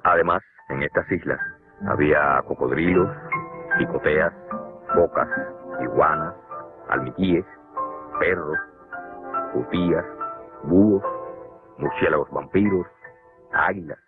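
A man with a Cuban Spanish accent giving measured, scripted documentary narration for a cartoon. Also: extremely low pitch. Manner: measured, explanatory. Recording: tinny lo-fi narration over music.

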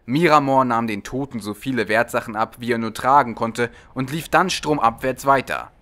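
A man's voice: mid-range voice, moderate pace, fairly bright tone. Man reading a fantasy audiobook. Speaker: audiobook reader. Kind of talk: scripted storybook narration.

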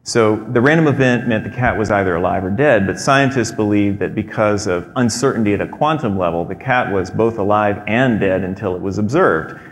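Low-voiced man giving a stage talk. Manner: measured, explanatory, with frequent fillers. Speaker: presenter. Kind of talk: prepared talk. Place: large theater stage. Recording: long hall reverb.

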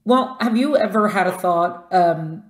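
A woman's voice: mid-range voice, lively intonation, unhurried. A woman hosting a podcast, asking questions in a casual, conversational style, recorded with a roomy echo. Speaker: podcast host interviewer. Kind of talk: casual interview chat. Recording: roomy, echoing sound.

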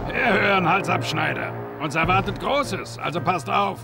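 A pompous video game gang boss, ruthless under his manners. His voice is high and gravelly with lively swings, over clear music. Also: normal speed. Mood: pompous, scheming. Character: pompous male villain gang boss, polite yet ruthless. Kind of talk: video game cutscene acting.

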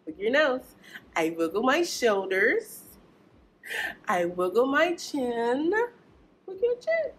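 A young woman hosts storytime for preschoolers. Her voice is fairly high and very lively in pitch, and she takes her time, encouraging small children in a singsong, deliberate way. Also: a little hiss or hum. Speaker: children's librarian and storytime host. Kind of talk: preschool storytime presentation with action rhymes. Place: small indoor children's library corner.

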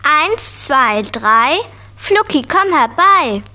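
A woman's voice: sits high, sing-song, drawn-out words, sharp tone, slight background noise, full character voice. A woman playing an eager, playful preschool girl in a children's audio play, childlike in manner.

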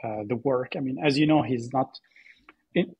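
Husky-voiced man telling his story in an interview. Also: mid-range voice, normal speed, a little mellow, dry recording, highs cut off. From Syria. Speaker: podcast guest, AI startup co-founder.

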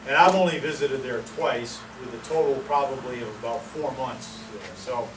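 A man from Maine giving a casual talk outdoors, with many fillers and pauses. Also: medium pitch. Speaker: presenter. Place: outdoor pavilion among palm trees. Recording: roomy, distant, noisy outdoor sound.

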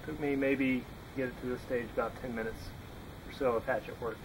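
A young man explaining hatchet woodworking in a relaxed, unhurried way while chopping outdoors in a yard, recorded on the camera. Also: mid-range voice, noisy background. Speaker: woodworking instructor. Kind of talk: casual how-to demonstration.

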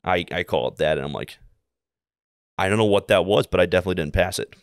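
A man in his twenties with an American accent, telling stories casually in a halting, repetitive way as an interview guest. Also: deep voice, clean tone, no room sound, clean studio sound. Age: 20s. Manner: halting, rambling, repeats himself while telling anecdotes. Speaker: podcast guest, air traffic controller. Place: small indoor room, seated. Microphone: close podcast mic on boom arm.

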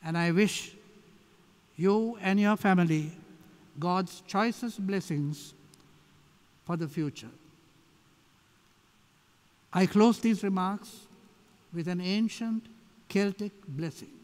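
Slow, high-voiced elderly Sri Lankan man. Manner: solemn, measured phrasing. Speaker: church society speaker. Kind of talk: formal tribute speech. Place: large church, at lectern. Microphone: gooseneck lectern microphone. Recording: echoey hall, long reverb.

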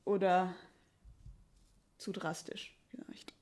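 A woman playing a casual female lumberjack in an improvised audio play, talking in halting, colloquial fragments. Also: on the low side, little pitch movement, darkish tone. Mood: hesitant, casual. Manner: halting colloquial chat, trailing off. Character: female lumberjack, casual, hesitant about a job offer. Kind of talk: improvised audio drama dialogue. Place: small dark curtained stage. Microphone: stand microphone.

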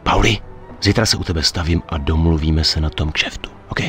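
A man's voice: rumbling low, fairly even intonation, a bit bright, soft background music. A male actor in a dubbed video game, playing a tough, wary, level-headed gangster with a dry, blunt manner. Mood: resolved.

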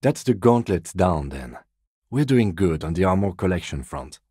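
Restrained police detective, middle-aged, very low mellow voice, dry formal delivery, video game dialogue. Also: male voice, sing-song, breathy edge, dry recording, exaggerated voice. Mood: businesslike, dry. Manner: measured, formal, restrained, dryly polite. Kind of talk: dramatic game dialogue, separate voice lines.

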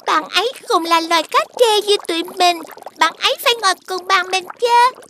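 A performer voicing a cheeky, proud young tadpole child in an animated fairy tale. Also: very high-pitched, moderate pace, background noise. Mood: boastful, cheeky.

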